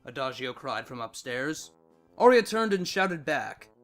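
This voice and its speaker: A narrator reading fan fiction aloud in an expressive scripted storytelling style. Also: mid-range voice, unhurried, faint music behind.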